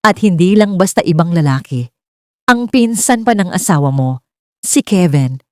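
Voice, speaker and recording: A woman voicing a suspicious, scornful older mother-in-law in a romance drama, sharp-tongued and insinuating. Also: lowish voice, lively intonation, moderate pace, no room sound, clean studio sound, pitched above the performer's own voice, natural voice. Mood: angry, hurt.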